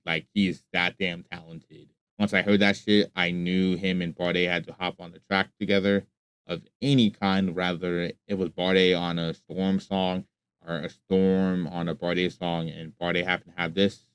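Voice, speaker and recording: A man's voice: deep voice, level tone, moderate pace, fairly bright tone, smooth, dry recording. A man hosting a music reaction video, talking casually, bluntly and with plenty of swearing. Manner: blunt and profane, speaks his mind.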